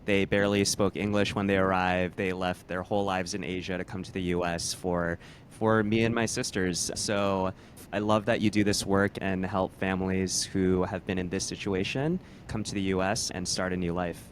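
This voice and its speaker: Lower-voiced American man over faint rumble. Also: flat delivery, moderate pace. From California, United States. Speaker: podcast co-host. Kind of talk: casual conversational hosting.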